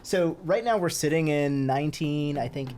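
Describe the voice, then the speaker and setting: A low-voiced woman whose first language is Telugu hosts a casual game stream. She chats loosely, explaining things to her viewers with fillers and easy laughs. Game music plays steadily behind her. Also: normal speed.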